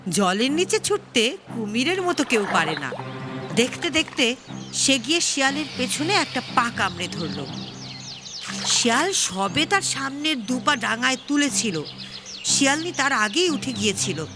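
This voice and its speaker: A woman's voice: high-pitched, big pitch swings, unhurried. A woman narrating a children's story expressively, with music behind her. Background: background music.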